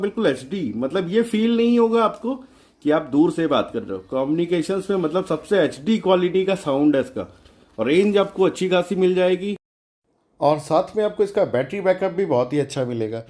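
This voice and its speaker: A man with a slightly high voice hosts a hands-on DIY tutorial. He explains things in a chatty, colloquial way and drops English technical words into his sentences. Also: lively intonation, fairly quick, a little mellow. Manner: chatty, colloquial, mixes English terms into speech. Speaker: DIY electronics channel host. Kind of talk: informal tutorial demonstration.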